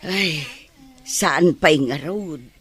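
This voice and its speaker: A woman playing an aging, worried and pious village mother in an Ilocano radio drama, lamenting over her son in a husky voice. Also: low voice, extremely slow, fairly bright tone, full character voice. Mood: resigned, troubled.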